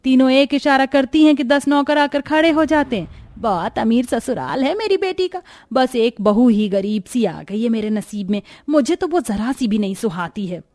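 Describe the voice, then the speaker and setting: A woman's voice: a little high, expressive, moderate pace, few pauses, lightly acted. A woman as a haughty, nagging mother-in-law. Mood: boastful. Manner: sharp, nagging, domineering. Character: haughty, scolding middle-aged Indian mother-in-law, doting on her daughters. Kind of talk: acted Hindi cartoon story dialogue.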